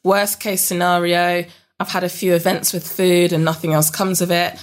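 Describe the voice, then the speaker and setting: A young woman with a London accent, a guest in a relaxed interview, talking with many ums and restarts. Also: on the low side, normal speed. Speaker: interview guest, business network founder.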